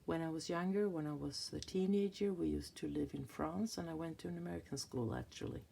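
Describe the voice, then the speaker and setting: Swedish lady in her 50s, low gravelly voice, slow and unsure, lots of restarts while she flips her planner. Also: warm tone, a little hiss or hum. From Sweden. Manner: hesitant, searching for words, many restarts. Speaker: planner vlogger. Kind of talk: casual flip-through chat. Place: indoors at a table, hands on a planner.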